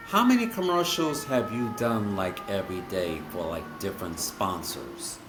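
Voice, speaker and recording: A man hosting a podcast interview. He speaks in a measured way and recounts his own radio experience. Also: lowish voice, lively intonation, over music. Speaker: podcast host. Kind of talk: interview, reminiscing. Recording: echoey room, faint noise.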